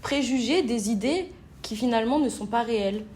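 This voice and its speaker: Higher-pitched woman interviewer, faint noise behind. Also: darkish tone. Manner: affirming, informal, pausing mid-question. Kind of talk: conversational interview questions.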